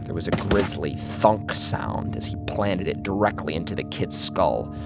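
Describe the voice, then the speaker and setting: Animated, low-pitched male horror narrator. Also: measured pace, vocal fry. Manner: theatrical, acts out the tension of the story. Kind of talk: dramatic scripted first-person narration. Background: music under the narration.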